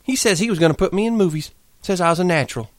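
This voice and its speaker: A lonely, restless young wife on a ranch, as a man puts her on, her words tumbling out fast and pleading. The voice jumps far above the reader's own and rides a lively, swooping melody. It is recorded close and dry. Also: fairly high pitch, no echo, pitched above the performer's own voice. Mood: aggrieved.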